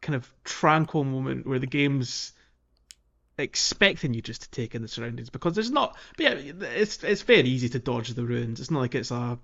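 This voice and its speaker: A man's voice: medium pitch, animated, normal speed, creaky, a bit bright, highs cut off. A man co-hosting a podcast, talking casually about a video game playthrough in a chatty, hesitant way. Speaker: podcast co-host.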